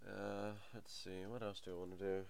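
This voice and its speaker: Deep-voiced young guy with a cold and sniffles, rambling let's-play chat, lots of ums. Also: flat delivery, normal speed, vocal fry, slight background noise. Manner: rambling, hesitant, many ums, chuckles to himself. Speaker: gaming channel host. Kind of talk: casual let's-play commentary.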